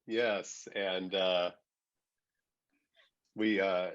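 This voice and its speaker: A man giving measured, explanatory answers as a radio program director, often repeating the start of a phrase. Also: low voice, little pitch movement, a bit bright, muffled recording. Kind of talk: explanatory question-and-answer talk.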